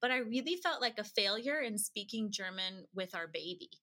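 A woman telling personal stories casually as a podcast guest, chatty and quick to laugh. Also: medium pitch, lively intonation, sharp tone. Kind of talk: casual anecdotal storytelling in an interview.

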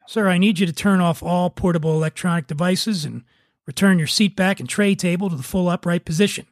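A man's voice: sits high, brisk, no echo, clean studio sound. A man reading the part of an insistent, officious flight attendant in a formal manner for a script reading. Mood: firm. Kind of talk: dramatic table read of TV pilot.

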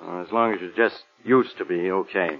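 Brisk, brusque police inspector. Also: male voice, deep voice, steady tone, faint music behind. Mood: probing. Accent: American. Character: gruff, bossy male police inspector. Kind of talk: 1940s radio crime drama acting. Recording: tinny, band-limited old radio recording.

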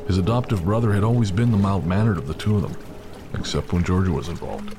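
Low-voiced male story narrator. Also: fast. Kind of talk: scripted audio drama narration.

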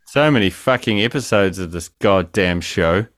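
A man's voice: low voice, moderate pace, fairly bright tone, dry recording. A man co-hosting a podcast by remote call, joking crudely and swinging between mumbling and exclaiming. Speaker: podcast co-host. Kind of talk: casual podcast banter. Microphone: remote call mic.

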